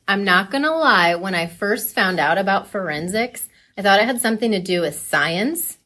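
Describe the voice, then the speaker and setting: A woman's voice: medium pitch, lively intonation, normal speed, bright, forceful tone. A woman answering interview questions as a coach, casual, thoughtful and candid. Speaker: forensics coach being interviewed.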